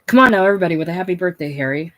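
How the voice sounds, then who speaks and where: Falsely cheerful salesman, cajoling and loud, played by a reader. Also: medium pitch, normal speed, natural voice. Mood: jovial. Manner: jovial, cajoling, raised and bright. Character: hearty pushy male salesman, falsely cheerful.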